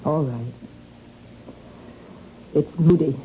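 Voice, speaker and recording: An actress playing an anxious, defensive young married woman in a flustered, halting, pleading way, in 1970s radio drama style. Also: deep voice, warm tone. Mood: ashamed, nervous. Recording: dull lo-fi old radio recording, faint noise.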